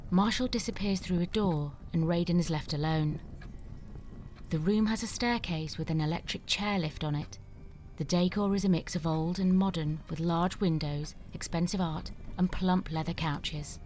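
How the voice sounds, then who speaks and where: Low-pitched woman narrating a screenplay. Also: normal speed, darkish tone. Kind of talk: scripted narration of stage directions.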